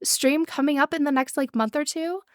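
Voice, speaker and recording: A woman's voice: high voice, moderate pace, no room sound, studio quality. A young woman in casual podcast chat, rambling and enthusiastic with many fillers and restarts. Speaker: podcast guest, actual-play show organizer.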